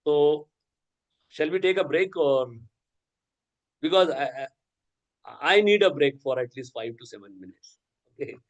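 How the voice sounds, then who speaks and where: A man's voice: fairly high pitch, expressive, a little slow, long pauses, low-quality recording. A man whose first language is Urdu, lecturing teachers over a video call and often checking in with "okay" and "right?". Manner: explanatory, frequent "okay" and "right?" check-ins. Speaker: workshop resource person.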